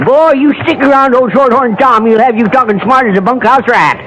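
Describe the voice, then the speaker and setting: An actor playing an old, toothless, jolly prospector with a folksy American West accent, rambling and cackling in old radio western style. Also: male voice, very high-pitched, moderate pace. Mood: folksy, teasing. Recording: noisy old radio recording.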